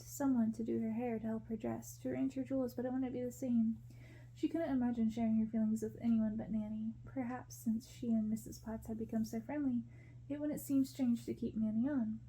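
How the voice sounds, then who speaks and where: Female ASMR reader: hushed, gentle storybook narration, very soft dark tone, slightly high pitch, faint noise behind. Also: vocal fry, poor audio.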